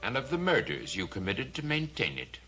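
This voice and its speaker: Forceful, scheming businessman. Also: fairly low pitch, wildly swooping pitch, normal speed, heavily acted, rough recording. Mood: cold, threatening. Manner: assertive, theatrical. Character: scheming, coldly confident blackmailing businessman. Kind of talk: gothic soap opera acting.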